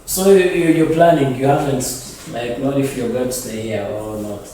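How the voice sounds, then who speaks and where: A man's voice: medium pitch, normal speed, dark tone, close to an everyday voice. A man playing an easygoing young student in an online drama. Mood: casual, upbeat. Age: young adult. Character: easygoing young man teasing his friend and cousin. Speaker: actor in an online drama series. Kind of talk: casual acted dialogue. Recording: very echoey room, distant mic, faint noise.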